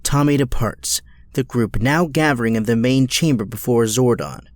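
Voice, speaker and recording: A man narrating an audio drama from a script in a measured, dramatic storytelling style. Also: mid-range voice, dry recording, clean studio sound.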